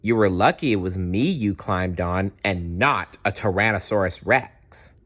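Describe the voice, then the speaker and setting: A man voicing a kind, giant female stegosaurus in a storybook, protective and gently admonishing. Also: sits low, animated, a little slow, highs cut off, heavily acted. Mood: warning.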